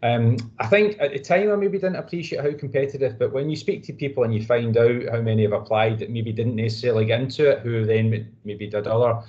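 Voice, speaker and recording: A man's voice: mid-range voice, fast, hardly any pauses, a little mellow, muffled recording. A Scottish man in his thirties answering interview questions in a modest, rambling way. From Scotland. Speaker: guest physiotherapist.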